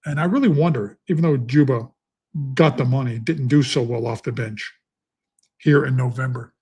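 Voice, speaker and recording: A man's voice: medium pitch, moderate pace, darkish tone, slightly rough. A middle-aged man talking casually on a live stream from his basement home studio, rambling and thinking aloud with many fillers as he handicaps horse races. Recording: echoey basement room.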